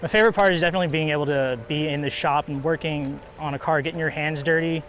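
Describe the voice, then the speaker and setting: A man's voice: on the high side, steady tone, quick pace, fairly bright tone. A male student team member answering interview questions, plain-spoken and enthusiastic.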